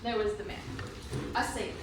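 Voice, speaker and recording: A woman's voice: medium pitch, steady tone, a little fast. A woman performing theatrical storytelling narration for readers theatre. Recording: echoey room recorded from far off, faint noise.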